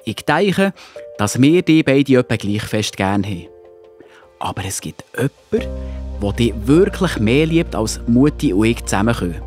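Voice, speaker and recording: A man playing a loving, patient father in a children's audio drama, gently reassuring, with a Swiss German accent. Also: medium pitch, sing-song, moderate pace, soft background music, close to an everyday voice.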